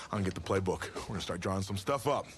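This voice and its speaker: An actor playing a folksy, excitable football coach in a scripted video game scene. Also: male voice, low-pitched, racing, a little hiss or hum. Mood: excited.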